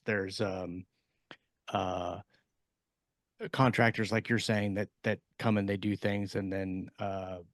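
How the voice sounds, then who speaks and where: A man's voice: lowish voice, no room sound, studio quality. A man in casual discussion as a podcast co-host, explaining in a rambling, hedging way.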